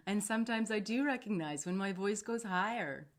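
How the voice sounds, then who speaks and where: A woman speaking off the cuff as a meditation guide, soothing and unhurried, meandering between pauses. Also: medium pitch. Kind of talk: unscripted live talk and guided meditation.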